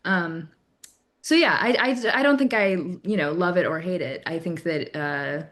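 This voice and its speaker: A woman with a slightly low voice talks as a guest on a panel show. She is informal and loose, wandering through her thoughts and checking that listeners follow. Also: unhurried, a bit bright. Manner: informal, meandering, seeking agreement. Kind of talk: casual chat.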